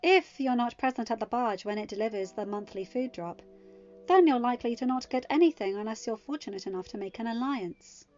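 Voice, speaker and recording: A woman voicing a composed goddess queen who speaks in a dry, measured, quietly cutting way. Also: fairly high pitch, lively intonation, natural voice. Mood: cool. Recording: muffled audio with soft music.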